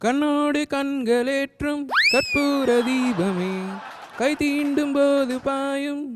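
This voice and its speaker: A woman's voice: high voice, slow, very noisy. A woman singing a film song as an amateur audition contestant. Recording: music behind the singing.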